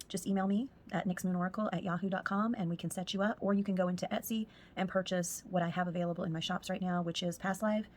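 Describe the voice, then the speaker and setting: A woman with a husky, hoarse voice doing a spiritual tarot reading as a casual monologue, speaking softly and sometimes close to a whisper. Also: lowish voice. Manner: soft-spoken, drifts into whispers at times. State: hoarse, losing her voice. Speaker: tarot reader.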